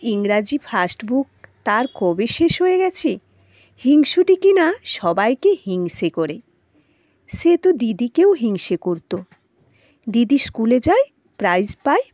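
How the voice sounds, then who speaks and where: A woman narrates a children's tale as an expressive storyteller. Her fairly high voice swings widely for emphasis, and she takes her time over the phrases in a dramatic way. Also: unhurried, no echo, studio quality.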